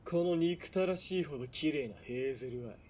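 An actor playing a sadistic, menacing military police villain in a radio drama, sneering and mocking, from quiet threats to barked orders. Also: male voice, fairly high pitch, brisk, breathy edge. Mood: cold menace. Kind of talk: Japanese radio drama acting.

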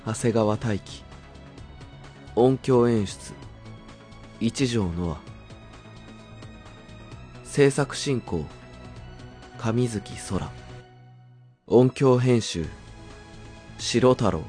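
A man's voice: medium pitch, extremely slow, long pauses, a little mellow, faint music behind. A man giving a scripted ending narration, reading out the credits of a radio drama.

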